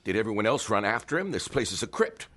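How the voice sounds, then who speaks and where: An actor playing a stern, wronged husband, a doctor who speaks with clipped, gravelly authority in an audio drama. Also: male voice, fairly low pitch, sing-song, quick pace, a bit bright. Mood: cold interrogation.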